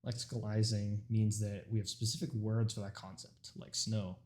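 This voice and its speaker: A young man whose first language is Urdu gives a prepared informative speech, earnest and mostly steady, with a few stumbles and restarts as he reads. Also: fairly low pitch, normal speed. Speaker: student speaker.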